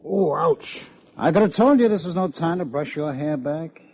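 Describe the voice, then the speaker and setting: An American actor playing a dry, needling police lieutenant with a sardonic manner in an old-time radio detective drama. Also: male voice, on the high side, moderate pace, darkish tone, husky. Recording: muffled old radio recording.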